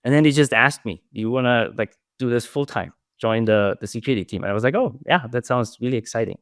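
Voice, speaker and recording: A man's voice: mid-range voice, quick pace, dry recording, studio quality. A middle-aged man from Austria with an Austrian German accent, a native German speaker, answering interview questions thoughtfully and trailing off at the ends of phrases. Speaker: security researcher guest.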